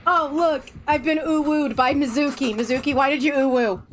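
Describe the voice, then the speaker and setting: A woman's voice: high-pitched, fairly even intonation, normal speed, slight background noise. A woman streaming a game and chatting casually with friends, playful and teasing, laughing often. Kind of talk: casual gaming chat with friends.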